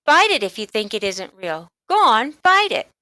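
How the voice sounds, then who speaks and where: A woman voicing an excited, insistent little schoolgirl in a storybook, eager to show off. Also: on the high side, normal speed, no echo, voice pushed up.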